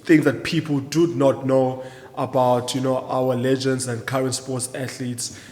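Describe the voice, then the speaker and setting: A man's voice: medium pitch, level tone, measured pace, a little mellow. A man hosting a casual interview, chatting informally with lots of "you know" along the way. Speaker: podcast host and interviewer. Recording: reverberant hall-like room.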